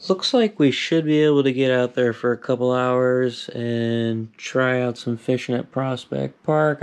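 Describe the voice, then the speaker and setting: A young man casually narrating his own vlog, relaxed and rambling with plenty of fillers, recorded on an action camera at home and outdoors by a lake. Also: mid-range voice, clear, resonant tone. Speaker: vlogger. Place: apartment indoors, then outdoor park by a lake.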